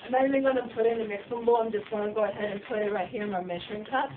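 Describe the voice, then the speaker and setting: A slightly hoarse woman chatting loosely through a cooking demonstration in her home kitchen. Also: medium pitch, very fast. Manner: chatty, loose, with fillers and restarts. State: slightly hoarse, getting sick. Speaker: cooking show host. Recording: distant, tinny and noisy home video.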